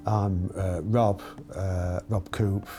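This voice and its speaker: A man in his fifties with a fairly low voice talks thoughtfully, pausing as he goes, in a documentary interview. Clear music runs beneath him. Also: little pitch movement. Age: 50s. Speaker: band bassist. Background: music bed.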